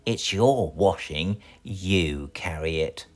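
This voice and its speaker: A man's voice: low voice, slow pace, faint music behind. A British man voicing a stingy, easily flustered storybook miser with a blunt, emphatic manner. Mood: curt, grumpy. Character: grumpy, stingy male miser, easily panicked.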